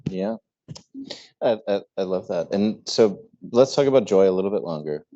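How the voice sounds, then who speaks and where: Low-voiced guy moderating a panel, thoughtful, stumbles a bit, muffled lo-fi audio. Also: expressive, normal speed, warm tone. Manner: thoughtful, with stammered restarts.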